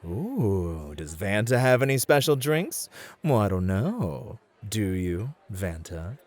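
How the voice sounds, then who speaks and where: A man acting in an ASMR roleplay as a smooth, confident, flirty club host who reassures and charms. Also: lowish voice, very animated, slow pace, a little mellow, some chatter behind, full character voice. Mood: welcoming, charming.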